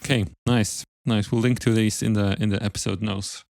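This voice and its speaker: A man hosting an interview podcast, speaking in a polite, measured way with formal phrasing. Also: on the low side, fairly even intonation, vocal fry, darkish tone, no room sound, studio quality. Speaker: podcast host. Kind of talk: interview, then podcast sign-off.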